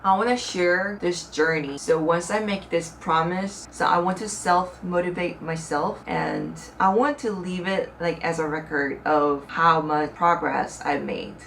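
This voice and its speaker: A woman's voice: lowish voice, fairly bright tone. A young woman with a Korean accent, a native Korean speaker, chatting earnestly to her viewers in a casual vlog, with some hesitant second-language phrasing. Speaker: vlogger. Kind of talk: casual talk to camera. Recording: slightly distant mic with faint room noise.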